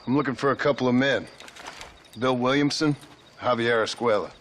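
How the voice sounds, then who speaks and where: An actor playing a dry, laconic American outlaw in a Western video game, speaking in a rough drawl. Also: male voice, mid-range voice, normal speed, fairly bright tone, slight background noise, lightly acted. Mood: curious, dry. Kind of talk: game cutscene acting, Western drama.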